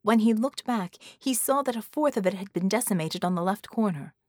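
A woman's voice: mid-range voice, brisk, a little mellow, no echo, studio quality. A woman reading an action story as an audiobook narrator, in a dramatic scripted style.

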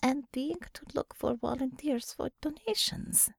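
A woman's voice: high voice, measured pace, gravelly, dry recording, clean studio sound, pitched above the performer's own voice, full character voice. Woman voicing a composed young Russian woman with superpowers. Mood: matter-of-fact. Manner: clipped broken English.

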